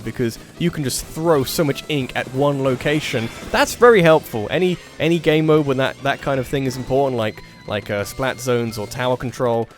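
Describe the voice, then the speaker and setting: Man, slightly nasal, casual play-by-play, rambling, faint music behind. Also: medium pitch. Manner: rambling, self-correcting play-by-play. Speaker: tournament organiser and commentator. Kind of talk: casual live game commentary.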